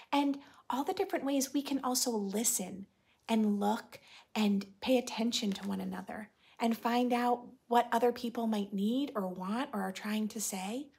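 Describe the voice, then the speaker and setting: A woman in her thirties reading a picture book aloud to preschoolers, expressively, in simple phrases with drawn-out key words. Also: a little high, vocal fry, darkish tone. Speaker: host of a children's read-aloud show. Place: indoor room with bookshelf and armchair.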